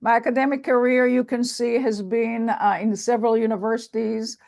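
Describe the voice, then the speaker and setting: An older woman with an Israeli accent giving an academic lecture on a video call, speaking in a measured, halting way with many fillers and restarts. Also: a little high, flat delivery, vocal fry, a little mellow.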